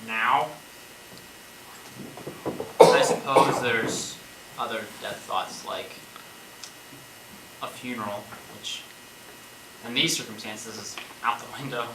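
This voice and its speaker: A man's voice: medium pitch, slow pace, pauses often, distant mic, lightly acted. Guy acting as wry rambling zombie apocalypse survivor musing on death, stage play, echoey and kinda noisy.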